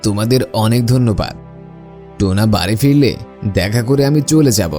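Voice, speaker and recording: A man's voice: on the low side, animated, moderate pace, warm tone, faint music behind, lightly acted. A man voicing a needy, idle male crow in a cartoon, speaking in a plaintive, pleading way. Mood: humble, grateful. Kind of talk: scripted cartoon dialogue.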